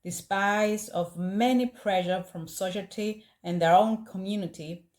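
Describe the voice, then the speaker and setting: A woman with a Greek accent, a native Greek speaker, hosting a podcast and narrating a true story in an earnest, measured way. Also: medium pitch, a little mellow. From Greece. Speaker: podcast host.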